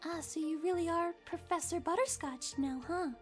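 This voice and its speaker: A woman's voice: extremely high pitch, moderate pace, faint music behind. A woman voicing a sweet, composed young cartoon pony lady with a British accent and a polite, thoughtful way of talking. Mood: playful, kind.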